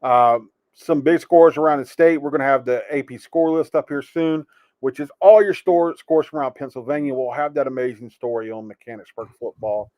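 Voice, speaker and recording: Man talking quickly about sports, dry sound. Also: medium pitch, darkish tone, dry recording. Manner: quick, punchy, casual remarks. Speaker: co-host. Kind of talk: live sports show chat.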